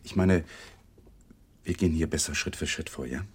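Radio play: defensive widower, low husky voice with a lively swing, clipped and evasive. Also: male voice, moderate pace. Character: tense, guarded male husband of a dead wife. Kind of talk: 1980s German radio drama acting.

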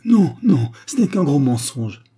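A man reading the part of a spirited young woman in a story, firm, energetic and defiant. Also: medium pitch, normal speed, slight background noise, lightly acted. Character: spirited, bold young woman defending the innocent.

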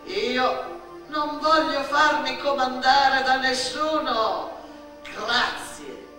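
A proud old man with an anarchist streak, acted by a woman for radio theatre. The delivery is forceful and defiant, insisting with stiff courtesy. It rings through a very echoey space. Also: high voice, extremely slow, distant mic, faint music behind, voice pushed up, heavily acted. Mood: proud, stubborn. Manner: defiant, repetitive, politely refusing. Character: proud stubborn poor old male anarchist.

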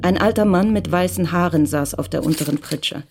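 A woman giving calm, measured scripted narration for a radio crime drama. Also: fairly low pitch, creaky, noisy background.

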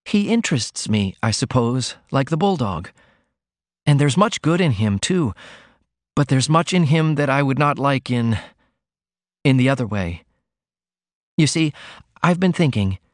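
A frank, innocent young woman, put on by a male reader, pours out her feelings in a raised, lively voice. She speaks earnestly and with feeling, like a storybook heroine. The sound is dry and close. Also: mid-range voice, unhurried, long pauses, no echo, voice pushed up. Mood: earnest confiding. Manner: confiding, emotional, earnest. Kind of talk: audiobook character reading.

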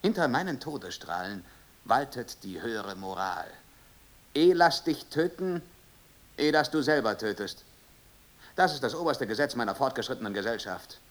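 An earnest frogman from a future society in a radio drama. His mid-pitched voice is declamatory, with animated rises and falls. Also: normal speed. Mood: moralizing. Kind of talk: German radio play acting.

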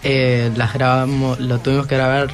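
A man's voice: medium pitch, flat delivery, moderate pace, faint music behind. Hesitant teenage boy. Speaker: student guest. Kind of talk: casual interview answers.

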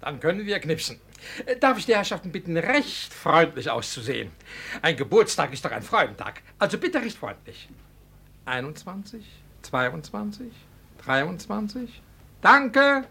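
A fussy village photographer in a vintage radio play. His piercing, high voice jumps about excitedly as he officiously obliges. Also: measured pace, vocal fry, husky, exaggerated voice. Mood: fussy, eager. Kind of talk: 1950 radio play acting.

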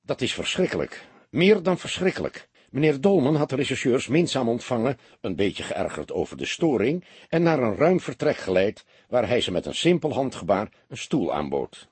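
A man reading an audiobook as narrator, in an expressive scripted style. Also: medium pitch, normal speed, breathy edge, no room sound.

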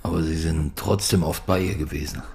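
An actor playing a probing, brooding police detective in a radio drama, talking in short, insistent lines. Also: male voice, a bass voice, steady tone, brisk, vocal fry, darkish tone. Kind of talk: naturalistic radio drama acting.

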